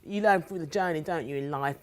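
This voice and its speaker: A middle-aged woman from South London chats as a guest author, with a London accent. Her voice is a touch low for a woman. She speaks with enthusiasm, doubling up words to stress her points. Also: brisk. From South London, England. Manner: enthusiastic, repeats words for emphasis. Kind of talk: casual interview chat.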